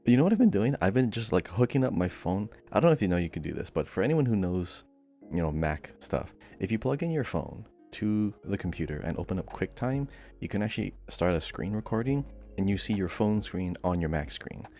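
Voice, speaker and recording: A man's voice: sits low, big pitch swings, brisk, warm tone, soft background music. A man co-hosting a podcast, chatting casually in a laid-back way. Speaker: podcast co-host. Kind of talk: casual chat.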